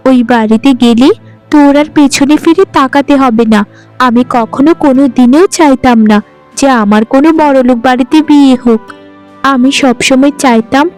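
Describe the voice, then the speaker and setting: A woman plays a kind, long-suffering young sister in a cartoon folk tale. Her high voice is gentle, earnest and submissive, with music behind it. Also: close to an everyday voice. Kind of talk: animated folk-tale dialogue.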